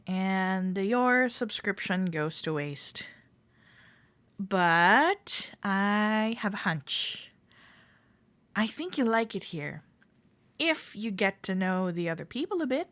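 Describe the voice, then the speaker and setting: A woman playing a friendly, playful club member in a roleplay audio, gently reassuring a shy newcomer as she speaks directly to the listener. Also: medium pitch, no room sound. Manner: gentle, reassuring, playful, hesitant soft-spoken chattiness.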